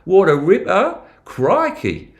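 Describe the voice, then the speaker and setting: London man putting on a shrill mock-Aussie bloke. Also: wildly swooping pitch, takes it slowly, heavily acted. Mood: exuberant. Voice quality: mock Australian accent. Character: exaggerated Aussie bloke, loud and cheery.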